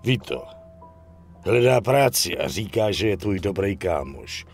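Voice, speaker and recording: Crime game union boss, middle-aged: cynical, blunt, creaky low end, music underneath. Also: male voice, sing-song, exaggerated voice. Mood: wary, businesslike. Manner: bossy, blunt, swearing, talks down to others. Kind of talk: dubbed crime game cutscene acting.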